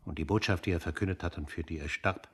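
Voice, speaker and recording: A fervent male follower of an underground cause in a radio play. His voice is deep and husky. He speaks with solemn conviction. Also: fast, fairly bright tone. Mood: reverent, fervent. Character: fervent male underground believer revering a martyr. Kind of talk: radio drama acting.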